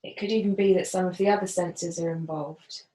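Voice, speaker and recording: A woman gently guiding a body scan as a yoga facilitator, unhurried and leaving pauses. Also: on the low side, steady tone. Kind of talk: guided body scan meditation instruction. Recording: roomy, echoing voice.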